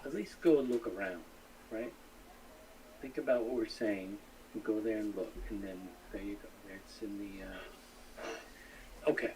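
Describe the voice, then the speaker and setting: A man's voice: lowish voice, normal speed, long pauses. A man casually hosting a live stream, talking to guests and viewers as he works the screen. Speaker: live-stream host running the screen share. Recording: clearly noisy feed.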